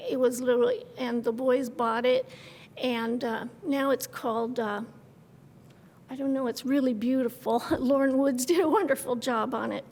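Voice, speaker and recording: A woman's voice: high voice, gravelly, long reverb tail, slight background noise. An older woman giving rambling, heartfelt public comment at a city meeting, speaking at a podium microphone. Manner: folksy and rambling, with homey terms like hon and love. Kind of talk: nervous testimony at a public meeting. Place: city council chamber.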